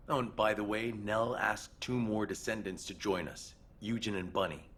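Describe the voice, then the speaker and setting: Game role: thoughtful military man giving briefings. Lowish, steady, serious, unhurried in manner. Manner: measured, matter-of-fact briefing. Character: composed, thoughtful male military mission officer. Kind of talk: scripted video game dialogue.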